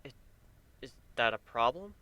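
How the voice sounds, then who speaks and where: A man voicing a gentle, wry male pegasus pony, a devoted husband, speaking softly and soothingly with light teasing in a story reading. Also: mid-range voice, animated, a little slow, no echo, lo-fi sound, close to an everyday voice.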